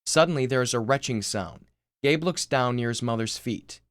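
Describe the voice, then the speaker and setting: A man's voice: mid-range voice, moderate pace, a bit bright, no echo. A man reading scripted screenplay narration, including stage directions, in a recording studio. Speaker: screenplay reader.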